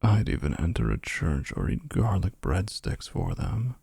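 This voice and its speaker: A man's voice: low-pitched, huge pitch swings, measured pace, gravelly, mellow, slightly rough, no room sound, heavily acted. A man voicing a possessive, smug male vampire suitor in a boyfriend roleplay, talking in a menacing, boastful way.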